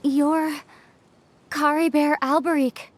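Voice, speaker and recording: An actress playing a solemn, otherworldly prophetess in dramatic game dialogue, deliberate, in a cavernous reverb. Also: female voice, sits high, level tone. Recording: long cavernous reverb, faint noise.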